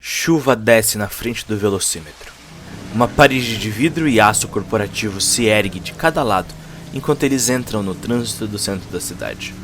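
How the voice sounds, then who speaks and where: A man's voice: lowish voice, moderate pace, noisy background. A man with a Brazilian Portuguese accent giving dramatic scripted narration of a cyberpunk story. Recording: music under the voice.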